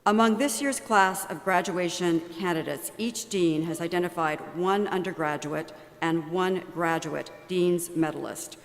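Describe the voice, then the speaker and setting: A woman's voice: on the low side, gravelly. Woman announcing in an echoing arena. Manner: measured, formal delivery. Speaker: ceremony announcer. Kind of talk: formal announcement. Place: large arena. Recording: long arena reverb.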